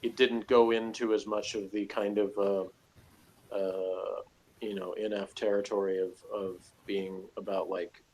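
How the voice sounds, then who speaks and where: A man's voice: on the low side, little pitch movement, a little slow, a little mellow, slight background noise, low-quality recording. A man talking through a TV review in a casual, analytical way, hesitant and rambling as he works out his points. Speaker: co-host reviewing a TV series.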